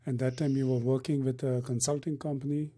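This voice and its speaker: Mellow-voiced man hosting an interview. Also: mid-range voice, flat delivery, fairly quick. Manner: friendly, chatty, filler-heavy questions. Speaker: interview host. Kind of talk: casual interview.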